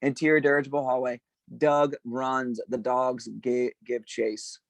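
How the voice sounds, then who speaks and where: A man's voice: medium pitch, little pitch movement, measured pace, no room sound. A man reading stage directions as scripted narration at an informal table read.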